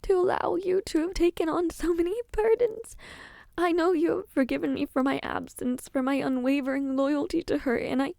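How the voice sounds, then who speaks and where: A woman playing an anguished, self-loathing young princess in a dramatic audio roleplay, speaking in a formal, halting way. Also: sits high, steady tone, vocal fry, a little mellow, no room sound, clean studio sound. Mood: regretful, distraught.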